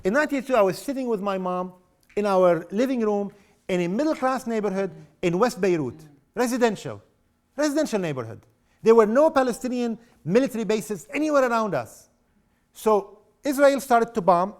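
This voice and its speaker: Impassioned high-voiced man lecturing. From South Lebanon. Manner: impassioned, sarcastic, rhetorical questions to the audience. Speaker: guest speaker. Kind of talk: public lecture.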